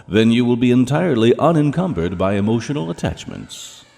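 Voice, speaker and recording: An actor playing a stern, menacing ruler of Hell in an audio drama, speaking in a measured, commanding and pompous way. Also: male voice, medium pitch, very animated, gravelly, darkish tone. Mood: coldly commanding. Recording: long hall reverb.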